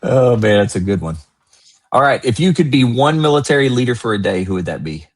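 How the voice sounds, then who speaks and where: Husky man hosting a casual interview. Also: mid-range voice, animated, a little fast. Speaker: interview host.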